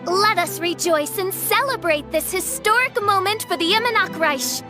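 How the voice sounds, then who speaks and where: A woman's voice: extremely high pitch, normal speed. A woman voicing a theatrical, proud teenage princess in a video game, with a pompous, declamatory manner. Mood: grand, proud. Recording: clear music behind the voice.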